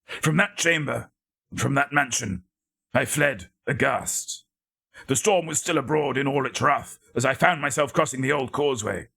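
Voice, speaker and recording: Male narrator for gothic fiction: raspy, forceful, theatrical, with measured and deliberate phrasing. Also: medium pitch. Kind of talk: dramatic scripted gothic story reading.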